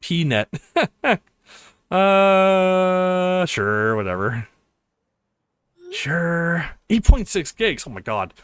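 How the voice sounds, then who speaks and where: A man's voice: high voice, very animated, drawn-out words, long pauses, a bit bright, smooth, no room sound. A man casually playing through a visual novel, reading dialogue aloud and reacting readily with exclamations. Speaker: let's play streamer.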